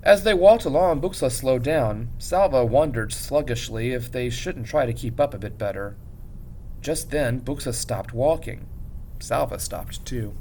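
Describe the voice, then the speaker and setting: A man reading a story aloud as a teacher would, in an expressive, measured narration with dramatic pauses. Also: mid-range voice, a little hiss or hum.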